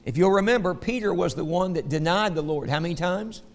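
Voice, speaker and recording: Southern man preaching in a church, a little high-pitched and gravelly, folksy with an echoing hall behind him. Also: moderate pace. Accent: Southern American. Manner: folksy, asks the congregation "okay?" often. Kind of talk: sermon.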